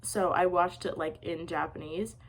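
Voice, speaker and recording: Rushed young woman with constant fillers. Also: on the low side, steady tone, low-quality recording. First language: English. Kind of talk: casual unscripted film review.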